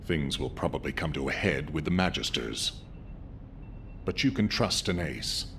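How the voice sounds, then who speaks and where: A man's voice: very deep voice, normal speed, creaky, slight background noise, heavily acted. An actor playing a male commander leaving a final recorded message to his troops in a video game. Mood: resolute. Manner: steady, heartfelt address to troops. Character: fallen male commander's recorded farewell, steady and caring. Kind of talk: video game cutscene dialogue.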